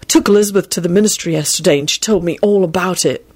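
A woman's voice: lowish voice, fast talker, darkish tone, no room sound, natural voice. A woman voicing an awkward, earnest young man in a storybook reading, hesitant and apologetic. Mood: smug.

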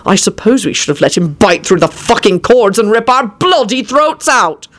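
A woman's voice: mid-range voice, lively intonation, moderate pace, natural voice. A woman voicing an irritable, sarcastic, easily offended man in a story, barking his complaints.

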